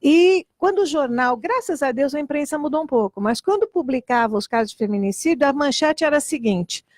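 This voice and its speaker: High-voiced woman police chief. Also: animated, fairly quick, no room sound, clean studio sound. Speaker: police chief and women's rights advocate. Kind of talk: panel discussion.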